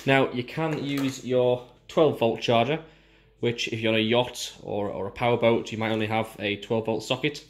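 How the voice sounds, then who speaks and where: A man with a British accent presents a casual unboxing review. He talks informally, explaining each part as he goes. His pitch sits in an ordinary middle male range. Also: steady tone, moderate pace, a little hiss or hum. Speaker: product reviewer.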